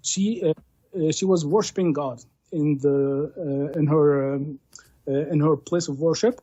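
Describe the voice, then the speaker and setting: A man tells a long story slowly, stumbling through fillers and restarts as he asks not to be cut off. His voice is soft and husky, heard over dry, dull, lo-fi call audio. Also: a little high, no echo. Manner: halting, many fillers and restarts, pleads to finish. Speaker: debate guest. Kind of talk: retelling a scripture story in a debate.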